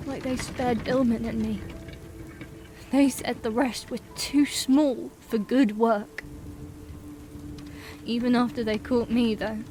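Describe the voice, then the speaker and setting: A woman playing a frightened but plucky young female halfling prisoner in a fantasy audiobook, talking in a folksy, halting way. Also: a little high. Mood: grieving. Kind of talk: dramatized fantasy audiobook acting. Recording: music and rain sounds behind.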